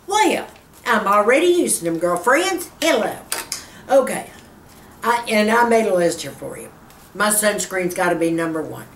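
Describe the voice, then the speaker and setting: A woman's voice: mid-range voice, very animated, normal speed, recorded from a distance. A woman in her fifties with a Texas accent, chatting to her viewers in a skincare Q&A and calling them girlfriend. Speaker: skincare vlogger. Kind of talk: casual Q&A to camera. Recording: echoey room, off-mic, faint hum.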